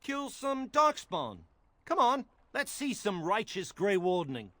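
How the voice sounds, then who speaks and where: An actor playing a petulant, sarcastic templar guard in a video game, pompous and mocking in manner. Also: male voice, high voice, sing-song, unhurried, fairly bright tone, no echo, heavily acted.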